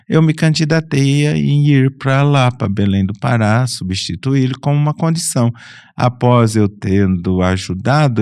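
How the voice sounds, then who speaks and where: A middle-aged man from Brazil, a Franciscan friar and priest, recounting his life in an unhurried, relaxed way, often restarting and correcting himself. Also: fairly low pitch, no room sound, studio quality. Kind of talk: relaxed personal storytelling.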